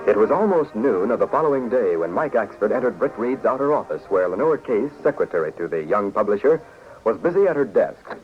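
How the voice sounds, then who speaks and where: Low-voiced radio announcer man over music. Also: sing-song, fairly quick. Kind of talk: 1940s radio scripted narration. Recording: tinny 1940s radio recording.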